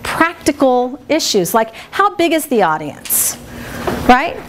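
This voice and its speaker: A woman with a big, high voice leads a workshop for a small group in a meeting room. She talks in a chatty, lively way and laughs often. A faint hiss sits under her voice. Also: slow pace. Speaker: speaker trainer. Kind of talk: workshop teaching.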